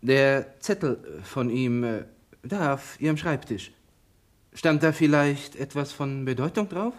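A troubled young curate acted by a man in a radio play. He speaks slowly at a medium pitch, halting and uneasy. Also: expressive, close to an everyday voice. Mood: uneasy, agitated. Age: young adult. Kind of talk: radio drama acting.

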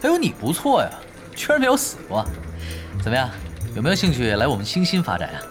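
A man's voice: on the high side, wildly swooping pitch, fast. An actor playing a sly, shameless, laid-back young male gamer in a Chinese audio drama, teasing and mock-innocent. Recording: music behind the voice.

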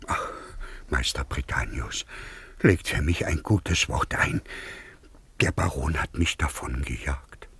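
A man playing a disgraced, drunken, scheming tutor in a German radio play, husky and breathy, halting and exaggerated. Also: extremely low pitch, a bit bright, dry recording, full character voice. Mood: wailing, abject. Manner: halting, exaggerated, muttering to exclaiming. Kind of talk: German radio play acting of a classical comedy.

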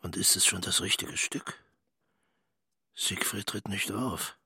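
An actor playing a contemptuous, bitter fascist militarist in a radio play, with a harsh, husky voice and a sneering, haughty manner. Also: male voice, deep voice, fairly even intonation, slow, sharp tone, dry recording, studio quality, heavily acted. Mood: bored scorn. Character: contemptuous, bitter male German fascist militarist, middle-aged or older. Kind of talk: radio play interior monologue.

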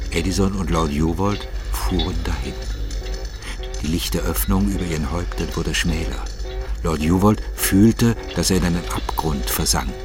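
A man reading scripted literary narration in a measured, formal manner. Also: low-pitched.

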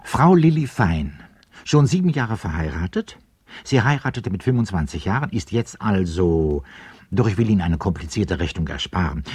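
An actor playing a wry, slightly pedantic storyteller who narrates a radio play in letters. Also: male voice, low-pitched, a little mellow, husky, no echo, studio quality.

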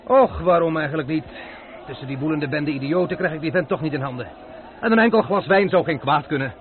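An actor playing an impatient, dry-witted gentleman who pushes through a crowd in search of a villain. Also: on the high side, a little fast, slight background noise, natural voice. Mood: wry. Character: brusque gentleman hunting a villain. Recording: tinny old radio recording, busy crowd.

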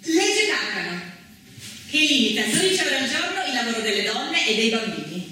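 Woman announcing history on stage, bright forceful voice, really echoey, emphatic delivery. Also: fairly high pitch, very animated, a little fast. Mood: solemn. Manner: declamatory, emphatic. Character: female announcer of historical dates and laws. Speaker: stage narrator. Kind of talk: theatrical scripted narration. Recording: echoey stage, distant mic, background noise.

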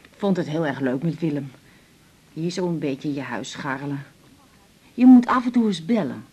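An actress playing a worried, hesitant middle-aged mother with a husky, breathy voice in a radio drama. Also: low-pitched, animated, normal speed, mellow. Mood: modest, fussing. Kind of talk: naturalistic 1980s Dutch radio drama acting.

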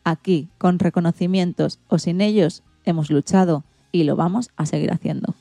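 A woman's voice: lowish voice, fairly even intonation, normal speed, vocal fry, dark tone, dry recording, soft background music. A woman with a Spanish accent from Spain, hosting a radio program in a scripted presenting style.

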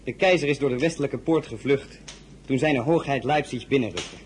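An earnest, formal army officer of noble birth, played by a man in a radio drama. His voice is medium in pitch and he reports dutifully, with audible background noise. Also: moderate pace, close to an everyday voice. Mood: proud, earnest.

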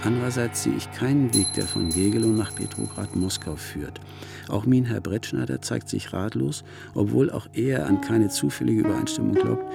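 Over loud music comes a formal letter writer for a radio play, pedantic and courteous. His low, gravelly voice sets out each phrase with measured ceremony.

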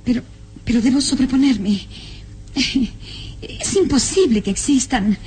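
A high-voiced, frightened young noblewoman. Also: expressive, unhurried, dark tone, slight background noise, rough recording. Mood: anxious, self-reassuring. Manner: hesitant, breathless exclamations and anxious repetitions. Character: frightened, beautiful young noblewoman struggling to reason away fear. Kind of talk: old Latin American radio serial melodrama. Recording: music behind the voice.

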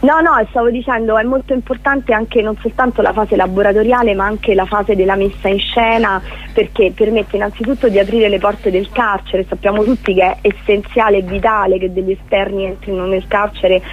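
Over a narrow, tinny telephone connection, a woman speaks as a radio interview guest. Her voice sits at a middling pitch. She explains things earnestly and leans on repeated words for emphasis. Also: fairly even intonation, a little fast. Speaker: interview guest, prison theatre project leader. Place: on a phone call to a radio show. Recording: narrow tinny phone line.

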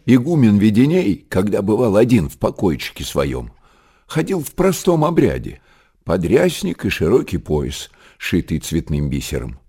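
An actor playing the storyteller of an old monastery tale in a radio drama, narrating in an expressive literary manner. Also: male voice, low voice, slightly rough, exaggerated voice.

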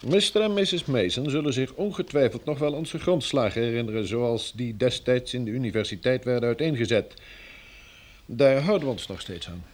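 A man's voice: medium pitch, expressive, moderate pace, lightly acted. Guy acting polite but firm pioneer envoy in a radio play, formal, persuasive, super varied intonation. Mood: firm, diplomatic. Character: courteous, firm male envoy of a pioneer community.

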